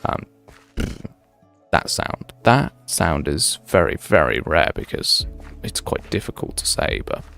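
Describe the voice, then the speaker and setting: A man's voice: extremely low pitch, big pitch swings, slow pace, gravelly, a bit bright, soft background music. A young man in his twenties, chatting casually and thinking aloud on a game livestream, drifting between tangents. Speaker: game streamer. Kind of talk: casual livestream chat while playing.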